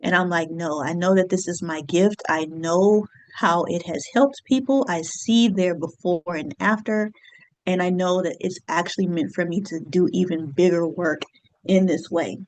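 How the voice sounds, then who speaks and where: A woman in her thirties speaks in a lowish, reflective voice as an astrologer and business coach. She talks conversationally to her audience, with frequent fillers and soft laughs. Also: moderate pace, rough recording. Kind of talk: casual solo talk to camera.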